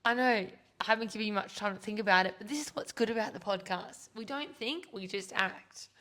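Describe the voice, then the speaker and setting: Bright chatty Australian woman host. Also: mid-range voice, a little fast. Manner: giggly, chatty, self-correcting. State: slightly losing her voice. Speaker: podcast host and gym founder. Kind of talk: casual chat.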